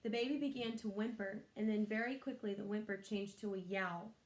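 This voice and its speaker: A woman's voice: mid-range voice, a little fast. A woman reading a children's story aloud expressively, like a teacher, in an echoey room.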